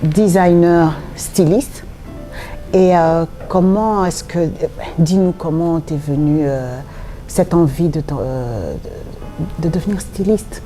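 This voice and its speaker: A woman interviewer asking halting questions, restarting and filling pauses as she goes. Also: lowish voice, dark tone, faint music behind.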